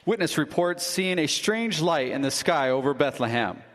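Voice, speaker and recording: A man's voice: on the high side, expressive, normal speed, long reverb tail, natural voice. A man playing a formal radio announcer. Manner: formal newscaster delivery.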